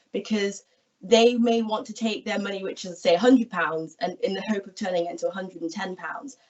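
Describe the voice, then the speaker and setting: A woman in her twenties, from England, explaining her job in a friendly, clear way on an online careers talk. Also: mid-range voice, darkish tone. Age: 20s. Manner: friendly, explanatory, gestures while talking. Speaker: equity research analyst guest. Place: small room at home, indoors. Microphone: laptop webcam video call. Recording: distant, dull video call audio.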